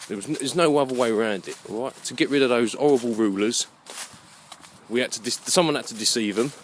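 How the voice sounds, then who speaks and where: Man, mid-pitched voice, halting and reflective, rambling vlog monologue, repeated phrases, faint ambient noise. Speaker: vlogger. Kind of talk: rambling unscripted spoken monologue.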